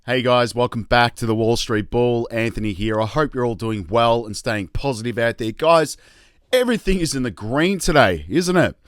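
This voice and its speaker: An Australian man hosting a casual investing vlog, chatty and excitable, tired and losing his voice. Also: medium pitch, normal speed, no room sound. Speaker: crypto YouTube host.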